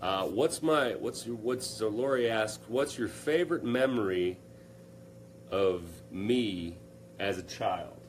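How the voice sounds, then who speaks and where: A man's voice: lowish voice, takes it slowly, slight background noise. A young man hosting a casual live Q&A, upbeat and joking as he reads out viewer comments. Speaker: live stream host.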